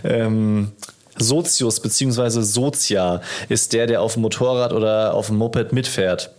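A man's voice: lowish voice, measured pace, darkish tone. A tired man, likely in his thirties, chatting casually and rambling with self-deprecation as a podcast co-host. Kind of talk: casual banter podcast chat.

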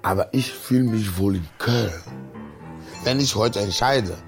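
Interviewee: man from Togo, non-native speaker, lowish creaky voice, talking off the cuff. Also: unhurried, soft background music. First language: not German. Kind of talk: spontaneous personal account and retelling.

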